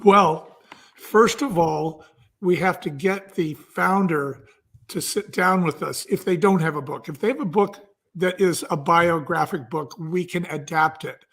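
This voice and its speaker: A man's voice: on the high side, measured pace, fairly bright tone. A man interviewed as an entrepreneur guest, explaining a process step by step in conversation with homely examples.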